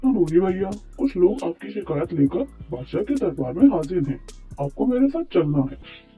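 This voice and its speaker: Man playing a high-voiced courtly royal minister. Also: big pitch swings, normal speed, dark tone, husky, full character voice. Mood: formal summons. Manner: courtly, formal, deferential. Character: deferential royal minister serving the king. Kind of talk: Urdu moral cartoon story dialogue. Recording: tinny phone-like sound, music bed.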